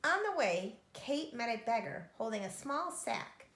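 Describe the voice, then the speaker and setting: A woman reading a children's story aloud with expressive narration. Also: fairly high pitch, a little slow. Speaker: storybook reader. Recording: echoey room.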